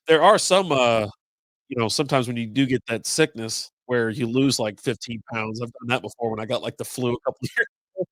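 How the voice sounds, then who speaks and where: A middle-aged man with a congested, slightly raspy voice hosts a casual live stream. He rambles loosely and cheerfully, with the odd swear word thrown in. His voice sits at an ordinary pitch, recorded close and dry. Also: fairly bright tone, dry recording, rough recording. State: congested from a cold. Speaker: host of a beer podcast live stream.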